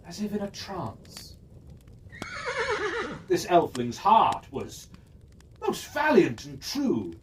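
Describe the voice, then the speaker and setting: A storyteller reading a rhyming fairy tale for children in a measured, sing-song cadence. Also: medium pitch. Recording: distant mic, faint hiss.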